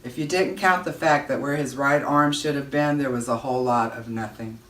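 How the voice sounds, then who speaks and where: A woman giving an expressive read-aloud of a children's novel, narrating as a storyteller. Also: a bass voice, normal speed. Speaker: audiobook-style reader. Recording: roomy echo, distant microphone.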